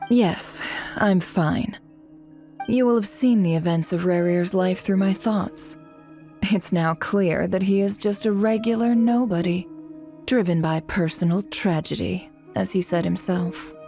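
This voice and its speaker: Low-toned, sardonic haughty boss woman. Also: unhurried, dark tone, faint music behind. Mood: smug. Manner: arch, teasing, self-satisfied. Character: sardonic, proud, lazy young woman boss, theatrically haughty. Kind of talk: English video game dub acting.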